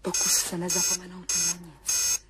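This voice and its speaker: An actress in a 1970s radio play voicing a wistful, tender lover, intimate and often dropping to a whisper. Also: female voice, lowish voice, monotone, noisy background, natural voice. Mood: solemn, pleading. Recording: 1970s radio drama, music behind.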